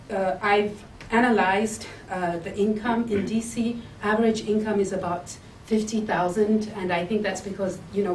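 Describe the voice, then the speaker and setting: A woman from Zambia pitching her business plan, hesitating often with ums and uhs. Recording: echoey room, mic far off, faint hiss.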